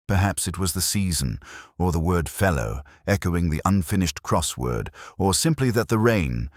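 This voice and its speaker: Man playing very deep-voiced brooding detective narrator. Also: breathy edge, dry recording, clean studio sound, full character voice. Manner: measured, brooding, wry, literary. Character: melancholy, literary male English police detective narrator. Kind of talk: scripted first-person mystery narration in radio drama manner.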